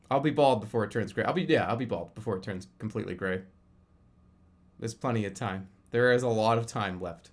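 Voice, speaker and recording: A man's voice: fairly low pitch, normal speed, pauses often. A man in his thirties chatting casually as a live streamer, rambling and often restarting or repeating his phrases. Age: 30s. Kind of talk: casual livestream chat commentary.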